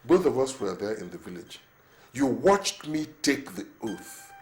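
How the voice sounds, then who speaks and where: A man's voice: mid-range voice, big pitch swings, measured pace. A man acting a husband who defends himself against accusations, indignant and defensive. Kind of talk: melodramatic film acting.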